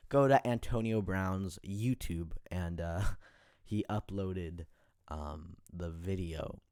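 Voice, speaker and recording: A man hosting a casual sports podcast, his voice raspy and hoarse, full of fillers and getting louder as he rants. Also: low voice, no echo, clean studio sound. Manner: filler-heavy, gets heated and louder when ranting. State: hoarse, tired voice.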